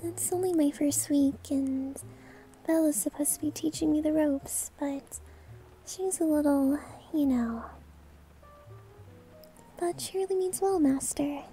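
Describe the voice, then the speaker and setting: A woman playing a shy, sweet young maid new to the job, hesitant and gentle, in an anime-style ASMR roleplay. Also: measured pace, long pauses. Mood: nervous, tender. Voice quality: soft, high, girlish. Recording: music behind the voice.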